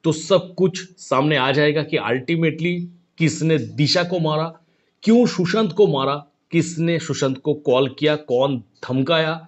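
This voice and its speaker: A man's voice: on the high side, measured pace. A man giving opinion commentary to viewers, speaking insistently with repeated points and rhetorical questions. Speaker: online commentator. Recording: dull, lo-fi sound.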